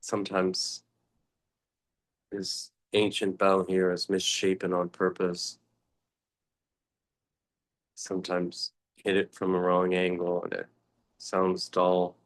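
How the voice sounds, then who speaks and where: Male meditation teacher, low-pitched and monotone, contemplative delivery with long pauses. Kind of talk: guided meditation talk.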